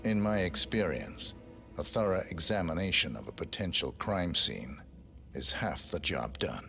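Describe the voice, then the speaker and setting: A man playing a cool, terse assassin posing as a detective in a video game, deadpan and clipped. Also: low-pitched, measured pace, soft background music, exaggerated voice. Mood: cool, detached.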